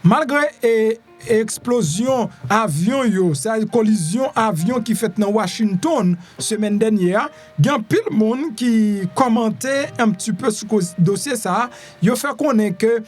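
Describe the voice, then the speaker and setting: A man's voice: high voice, a little slow, soft background music. A man with a Haitian accent giving informal news commentary, conversational, repeating phrases and putting questions to the listener. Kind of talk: informal news commentary in Creole with some French and English.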